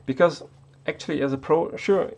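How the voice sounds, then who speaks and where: A man's voice: fairly high pitch, normal speed, vocal fry, darkish tone. A man from Austria, not an English speaker, talking unscripted to the camera as a tech YouTuber, hesitant with many restarts.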